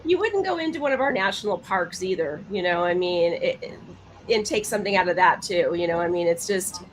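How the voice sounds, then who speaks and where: A woman with a slightly low voice chats casually on a podcast panel. She is emphatic and circles back over her phrases. Faint noise sits behind her. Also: animated, fairly quick, poor audio. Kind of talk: casual chat.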